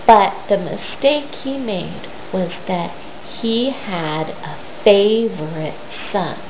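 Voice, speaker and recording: A woman retelling a Bible story to young children, speaking simply and emphatically and putting questions to them. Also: medium pitch. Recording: roomy, dull lo-fi sound with hiss.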